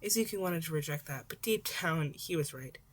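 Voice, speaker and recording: A woman's voice: deep voice, expressive, a little fast. A woman reading a story aloud as narrator, in scripted audiobook style. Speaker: podfic narrator.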